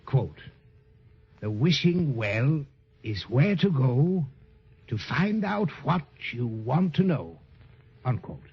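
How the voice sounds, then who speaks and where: Jovial show-off crocodile on old muffled 30s radio, grand swoopy voice. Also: male voice, mid-range voice, very animated, husky, full character voice. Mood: pompous, deliberate. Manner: theatrical, grandiose, drawn-out delivery. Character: pompous, jovial male crocodile who loves to sing. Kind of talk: 1930s children's radio serial acting. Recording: muffled vintage 1930s radio recording.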